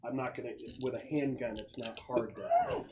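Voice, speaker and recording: A man's voice: mid-range voice, huge pitch swings, normal speed, over a noisy crowd. A man casually refereeing a tabletop roleplaying game as game master, explaining rules and outcomes. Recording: clearly noisy room recording.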